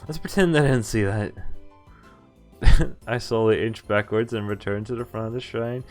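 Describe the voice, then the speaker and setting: A man's voice: lowish voice, moderate pace. A man streaming a visual novel, reading its text aloud and adding casual, amused, informal commentary. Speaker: game streamer. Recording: background music behind the voice. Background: game music.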